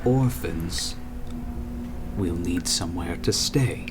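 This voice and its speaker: Man playing a slow, low-voiced imperious tycoon. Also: over loud music. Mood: measured, cold. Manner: measured, oratorical, formal. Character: imperious male city founder and tycoon, cold, grandiose. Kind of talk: radio drama acting. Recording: steady background noise over voice.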